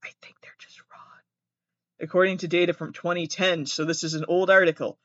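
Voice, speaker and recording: A man's voice: high voice, little pitch movement, a little fast, a bit bright, dry recording. A man with a Massachusetts accent hosting a podcast alone, reading trivia aloud with rambling, self-interrupting jokey asides. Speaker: podcast host. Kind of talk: solo comedic trivia reading. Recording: dull, dry close-mic sound.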